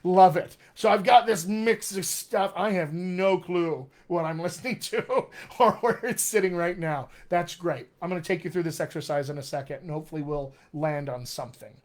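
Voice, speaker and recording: A man's voice: high voice, normal speed. A man with a General American accent with hints of West Texas and upstate New York, hosting a casual live Q&A as a dialect coach in an upbeat, teacherly way. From Colorado, USA.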